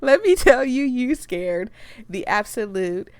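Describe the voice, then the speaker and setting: A woman hosting a game playthrough with casual, expressive and playful commentary. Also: on the high side, a little slow, no room sound. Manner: playful, chatty, expressive reactions. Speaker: host playing a game for viewers.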